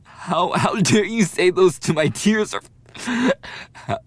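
A young man playing a lovesick, defensive school bully in a boyfriend ASMR roleplay, speaking haltingly in a husky, breathy voice. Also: high voice, lively intonation, dry recording, heavily acted. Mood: hurt, indignant. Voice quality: husky, breathy, often dropping to a whisper. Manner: halting, swearing, coughing between phrases.

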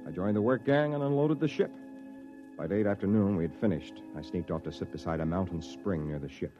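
A man's voice: low-pitched, brisk, dark tone, lightly acted. An actor in an old radio drama playing and narrating a stubborn stranded Earthman, on an old recording. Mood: reflective narration. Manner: indignant in dialogue, reflective when narrating. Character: exasperated, stubborn stranded Earthman, story's hero. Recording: dull old radio recording, faint music.

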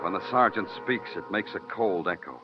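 A man's voice: low-pitched, lively intonation, normal speed. An American man playing a hard-nosed police detective who narrates moodily and questions curtly in a radio crime drama. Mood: brooding. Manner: clipped questioning, moody poetic narration. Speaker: narrator and lead actor. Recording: muffled vintage radio recording, loud music.

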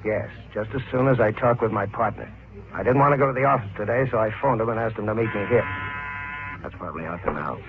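An actor playing an earnest, suspicious husband wrongly accused in a vintage radio crime drama, speaking in an emphatic, clipped way. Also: male voice, lowish voice, fast, lightly acted. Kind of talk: 1940s-50s radio drama acting. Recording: narrow, noisy old radio recording.

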